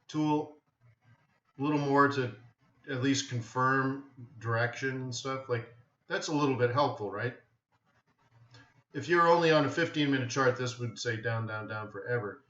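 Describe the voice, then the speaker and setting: A man's voice: medium pitch, fairly bright tone. A man hosting a live webinar with casual, offhand commentary, thinking aloud and often checking in with "right?". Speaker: host of a live trading webinar. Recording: dull, roomy, echoey webinar audio.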